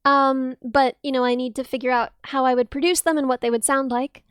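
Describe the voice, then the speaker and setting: A young woman streaming and chatting casually with viewers, a bubbly talker with a high, dense, slightly piercing voice who bursts into excited thanks. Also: a little fast, clean tone, dry recording, studio quality. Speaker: streamer and musician. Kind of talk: casual livestream chat with viewers.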